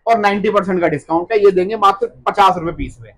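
A high-voiced man in his thirties talks very fast, listing goods and discount prices like a seasoned seller. His pushy patter stumbles and repeats words as he rushes on. The sound comes close from a phone camera in a shop. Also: a bit bright. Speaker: wholesale cosmetics shop owner. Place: cosmetics shop among stocked shelves, indoors. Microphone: handheld phone camera up close.

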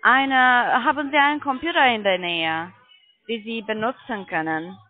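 A woman who is not a native speaker, giving a halting telephone sales pitch as a call centre agent, often checking with questions. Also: no echo. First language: not German. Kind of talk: persuasive phone sales pitch. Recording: tinny narrow phone line.

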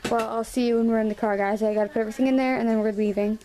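A woman's voice: on the high side, fairly even intonation, rapid-fire. A young woman rambling casually as she vlogs on a handheld camera, with lots of fillers. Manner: chatty, rambling, filler-heavy. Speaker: vlogger. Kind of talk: casual vlog chat. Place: bedroom, then car and hotel room. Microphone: handheld camera at arm's length. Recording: noisy handheld camera audio.

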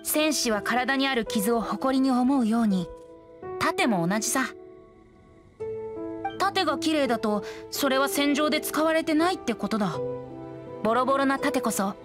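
A boyish young bard from an anime game, with a high voice over music. He talks in a breezy, theatrical lilt, poetic and persuasive. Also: animated, normal speed, pauses often.

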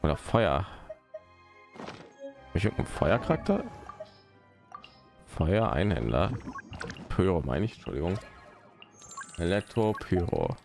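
A man's voice: deep voice, big pitch swings, unhurried, long pauses, darkish tone, soft background music. A man, streaming a game and rambling casually, muttering to himself as he plays. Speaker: live streamer. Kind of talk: casual gaming stream commentary.

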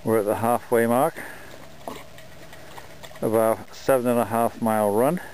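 A man narrating his dog scooter run outdoors in the desert in a relaxed, unhurried way. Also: medium pitch, slight background noise, rough recording. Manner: relaxed, unhurried talk with fillers. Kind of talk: casual vlog narration.

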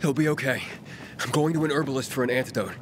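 A man's voice: mid-range voice, fairly even intonation, fast talker, lightly acted. A man playing a loyal, determined young survivor in a video game, earnest and urgent. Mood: resolute. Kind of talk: video game dramatic acting. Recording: noisy game action mix.

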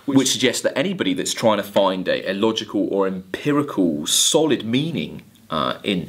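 Low man lecturing, very animated pitch. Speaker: college English literature lecturer. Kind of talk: lecture with quoted lines of verse. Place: indoors, recorded video lecture at a desk.

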